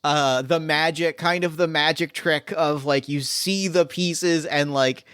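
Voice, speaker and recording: Male host, smooth clear voice, a touch high, dry close sound, animated chat. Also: no room sound. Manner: animated, quick to jump in and agree. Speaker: game master and podcast host. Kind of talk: casual podcast chat.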